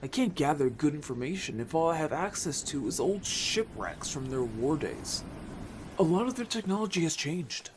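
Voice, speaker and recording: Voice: mid-range voice, expressive, normal speed, slight background noise, close to an everyday voice. An actor playing an upbeat, bold young merfolk scout in an audio story, chatty, casual and playfully defensive. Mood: defensive, eager. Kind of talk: audio story character acting.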